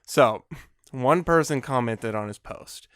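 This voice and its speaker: A man's voice: mid-range voice, unhurried, a bit bright, smooth, no echo, studio quality. A man hosting a podcast, chatty, putting questions to his guests and laughing easily. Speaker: podcast host. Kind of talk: casual chat.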